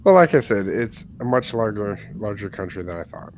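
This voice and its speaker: A man's voice: lowish voice, animated, fairly quick, slight background noise. An American man co-hosting a casual podcast chat, telling stories with lots of laughs. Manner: chatty storyteller, laughs often. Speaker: podcast co-host.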